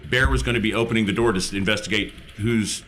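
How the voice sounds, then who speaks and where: A man's voice: on the low side, quick pace, a bit bright, slight background noise. A man chatting casually as a player at a tabletop role-playing game, describing his character and what it does.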